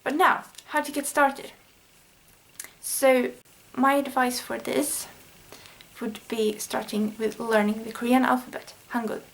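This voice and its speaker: Swedish woman, earnest and even-toned. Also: fairly high pitch, measured pace, creaky, low-quality recording. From Sweden. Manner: earnest, thinking aloud with small fillers. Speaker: language learning vlogger. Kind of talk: casual explanatory vlog lesson.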